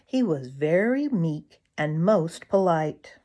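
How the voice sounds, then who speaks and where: Female children's audiobook narrator: slow, lowish register, animated swoops of intonation. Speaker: storybook reader, narrator. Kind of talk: expressive children's story narration.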